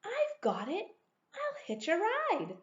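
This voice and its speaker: A tiny girl snail from a storybook, played by a woman, speaks high and eagerly with earnest longing. The sound is roomy and a bit dull. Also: huge pitch swings, slow, full character voice. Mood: excited. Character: tiny longing, plucky female sea snail.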